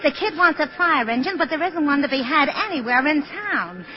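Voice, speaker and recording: A woman's voice: sits high, expressive, fairly quick. An actress playing a brash, irritated working-class mother with sarcastic, slangy scolding in 1940s radio style. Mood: indignant. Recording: muffled 1940s radio recording.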